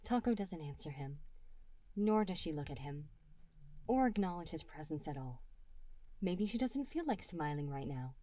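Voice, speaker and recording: Female story reader, lowish pitch, dark relaxed tone, expressive audiobook narration. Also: moderate pace, long pauses. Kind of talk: narrating fan fiction audiobook.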